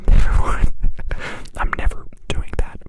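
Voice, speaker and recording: A man, a native Polish speaker raised in Canada, whispers a playful live-stream chat close to the mic and softly repeats words for effect. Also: unhurried. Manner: whispering throughout.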